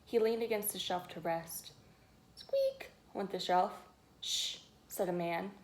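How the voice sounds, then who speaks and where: A woman reading a picture book aloud to young children, expressively, pausing to ask them questions and add her own asides. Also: fairly low pitch, fairly even intonation, a little mellow. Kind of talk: read-aloud storytelling with asides to young listeners.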